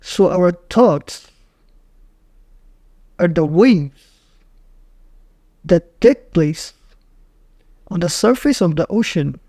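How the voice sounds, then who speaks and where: A man narrating a guided meditation in short, measured phrases with frequent pauses. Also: high voice, expressive, warm tone, no room sound, studio quality.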